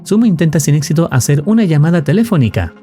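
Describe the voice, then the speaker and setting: A man narrates a scripted story in formal, measured phrasing. His voice sits a little high and has a very mellow, relaxed tone, with animated intonation that moves through each sentence. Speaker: narrator of horror video recaps. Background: music.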